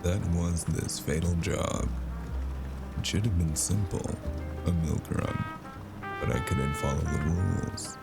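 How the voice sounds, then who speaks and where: A man acting as a haunted, world-weary noir drifter with a very low, gravelly voice. He broods and delivers sardonic lines in an audio drama, with loud music behind him. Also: measured pace. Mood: brooding. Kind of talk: noir audio drama with first-person narration. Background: loud cinematic music.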